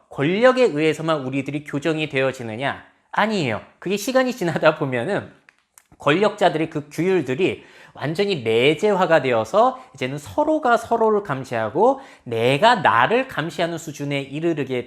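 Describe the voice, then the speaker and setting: A woman's voice: low-pitched, very animated, normal speed. A woman lecturing on social change, explaining step by step and asking her audience rhetorical questions. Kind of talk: lecture on future trends.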